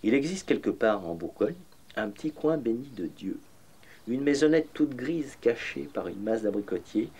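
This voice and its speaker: A man voicing a reminiscing painter in measured, literary first-person documentary narration. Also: medium pitch, expressive, darkish tone, slightly rough. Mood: reflective, nostalgic. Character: reminiscing male painter of bohemian Paris. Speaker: voice-over reading the painter's memoirs in a documentary.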